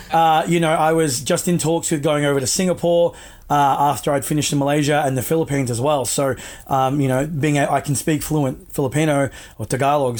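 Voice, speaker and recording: A man's voice: fairly high pitch, a little mellow. A man chatting casually as a guest, laid-back and rambling, with frequent fillers and restarts. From Australia. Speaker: guest, wrestler. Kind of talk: casual video-call chat.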